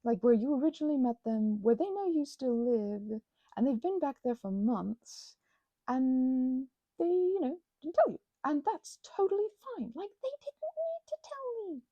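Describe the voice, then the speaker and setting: Woman chatting away kinda breathy and crackly, pitch all over the place, keeps stopping and restarting her thoughts. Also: big pitch swings, dark tone, no room sound, dull audio. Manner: halting, self-interrupting, reflective. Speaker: craft vlogger and ASMR creator. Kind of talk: rambling, intimate casual chat while crafting.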